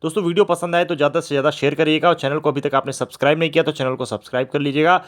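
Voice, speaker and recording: A man's voice: a little high, fairly even intonation, rapid-fire. A man speaking as a channel host, informally explaining things and addressing his viewers directly. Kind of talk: informal video introduction to viewers.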